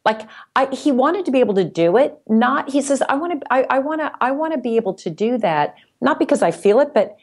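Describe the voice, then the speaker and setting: A woman chatting casually as a podcast guest, haltingly, often repeating words as she thinks. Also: high-pitched, lively intonation. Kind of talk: casual conversation.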